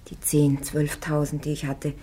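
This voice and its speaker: A woman's voice: sits low, monotone, unhurried, warm tone, slight background noise. A woman acting a defiant, devout young warrior on trial in a German radio play, plain-spoken and stubborn. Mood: plain, firm.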